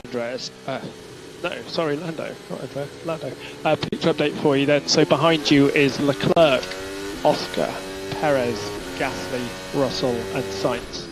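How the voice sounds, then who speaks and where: A male race engineer speaks over a team radio feed with music clearly behind him. He gives clipped, procedural instructions to a driver and repeats the key ones. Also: mid-range voice, unhurried, low-quality recording.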